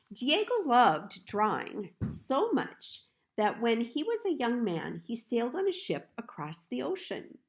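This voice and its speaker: A woman reading a children's picture book aloud in a storytelling style, with deliberate, expressive phrasing. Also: medium pitch.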